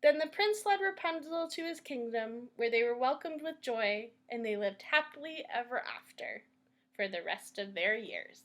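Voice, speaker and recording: A woman hosting a library storytime, reading aloud and instructing children in an expressive, deliberate way. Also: a little high.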